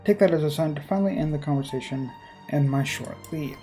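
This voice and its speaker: A person reading visual novel narration aloud, breaking off for informal, halting commentary. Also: mid-range voice, warm tone, soft background music.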